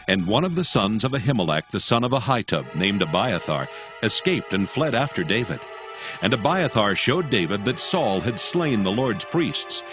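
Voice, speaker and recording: Low-voiced man narrating scripture over music.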